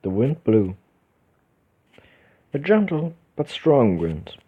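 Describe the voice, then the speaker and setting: Man, let's play reader, unhurried with lively intonation, stumbles now and then. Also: medium pitch, slightly rough. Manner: reads narration slowly with small stumbles and restarts. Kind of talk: reading a visual novel's text aloud. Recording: dull lo-fi recording with game music behind.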